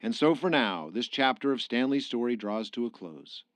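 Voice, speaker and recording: An actor delivering scripted narration as the solemn storyteller of a radio crime drama. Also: male voice, mid-range voice, expressive, moderate pace, dry recording, natural voice. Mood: reflective. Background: music.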